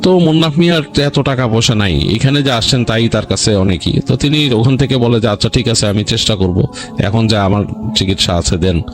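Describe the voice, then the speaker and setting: A man with a Bangladeshi accent hosting a story show, narrating in an unscripted, halting, conversational way and often rephrasing himself. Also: medium pitch. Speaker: horror story show host. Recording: music bed under voice. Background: background music.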